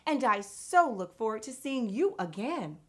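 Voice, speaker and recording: Female children's story host: highish voice, very animated, emphatic, talking straight to little listeners. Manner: expressive, emphatic, deliberate. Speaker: children's read-aloud show host. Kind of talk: addressing young children directly.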